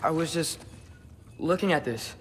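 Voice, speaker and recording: A man's voice: on the high side, lively intonation, a bit bright, a little hiss or hum. A male actor playing a curious, awkward teenage boy in a video game, speaking politely and tentatively. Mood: hesitant. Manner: halting, tentative. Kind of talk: video game cutscene acting. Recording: clear music behind.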